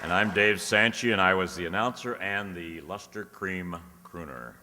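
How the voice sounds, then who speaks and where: A man's voice: low voice, normal speed. A man, the show's announcer, reading out cast credits live from an auditorium stage. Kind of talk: live curtain-call introductions. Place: large indoor auditorium, on stage. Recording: noisy echoey auditorium with audience.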